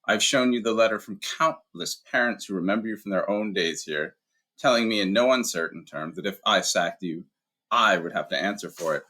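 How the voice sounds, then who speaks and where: A man voicing a calm, wise old male headmaster who speaks in a measured, dryly humorous way. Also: on the low side, poor audio. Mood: wry, calm. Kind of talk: audiobook character voice.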